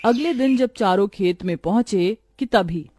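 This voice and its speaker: A woman's voice: medium pitch, measured pace, mellow. A woman narrator reading a scripted moral story for a cartoon.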